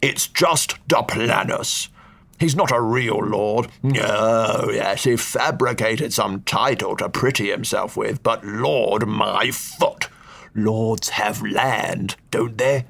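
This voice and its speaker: A man voicing a cantankerous elderly male duke who blusters and scolds in slow, gruff bursts. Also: fairly low pitch, fairly bright tone, full character voice. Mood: scornful. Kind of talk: audiobook character voice.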